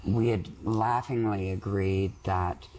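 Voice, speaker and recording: A woman giving a reflective, measured personal account as a client in a therapy session. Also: very deep voice, fairly even intonation, noisy background.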